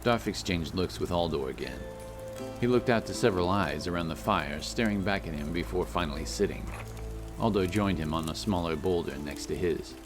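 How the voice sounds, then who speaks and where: A man's voice: deep voice, normal speed. A man reading scripted fantasy narration as an audiobook storyteller. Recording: loud music under the voice.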